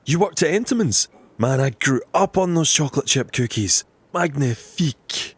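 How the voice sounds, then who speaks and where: An actor playing an effusive, slightly affected café customer in an audio comedy. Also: male voice, on the high side, lively intonation, normal speed, slightly rough. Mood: gushing. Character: gushing, pretentious male café customer dabbling in French. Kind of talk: audio drama comedy acting.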